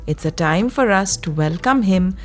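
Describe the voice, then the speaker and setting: A woman tells a children's bedtime story in a gentle, soothing way. Her voice sits at a middle pitch. Soft music plays behind her as she speaks directly to young listeners. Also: expressive. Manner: slow, soothing guidance addressed to young children. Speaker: children's storyteller and yoga guide.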